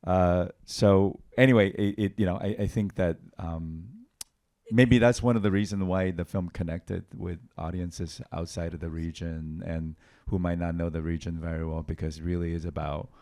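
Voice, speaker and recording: A man's voice: sits low, steady tone, normal speed, warm tone. A man from Taiwan, a film director, answering on a panel in a thoughtful way, with many fillers and restarts. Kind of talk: panel Q&A conversation.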